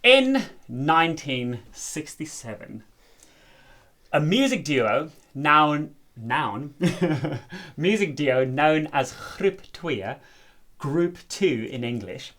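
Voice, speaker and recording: A man's voice: fairly high pitch, animated, very slow, fairly bright tone. A South African man whose first language is Afrikaans, co-hosting a casual chat and playfully explaining a folk song's history with many fillers. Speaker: co-host, musician.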